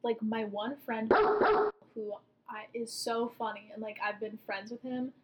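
A woman's voice: fairly high pitch, flat delivery, unhurried, very noisy. A woman in her twenties co-hosting a casual podcast, thinking aloud and rambling with lots of filler words. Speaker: podcast co-host.

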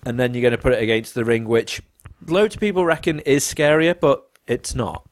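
A man's voice: mid-range voice, brisk. Young man, podcast co-host, casual and opinionated, free with swearing. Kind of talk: casual chat.

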